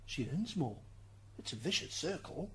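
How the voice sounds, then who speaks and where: A woman's voice: deep voice, big pitch swings, moderate pace, a bit bright, a little hiss or hum, rough recording. A woman playing a courteous, slightly flustered and wry defence lawyer in a radio drama. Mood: wry, reassuring. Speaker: defence advocate.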